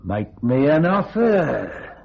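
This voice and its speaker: A man's voice: on the high side, big pitch swings, slow pace, gravelly, husky, full character voice. A man playing a sly, wheedling tailor turned blackmailer in an old-time radio thriller, with an insinuating, mock-innocent manner. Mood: smug, insinuating. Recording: dull vintage radio recording.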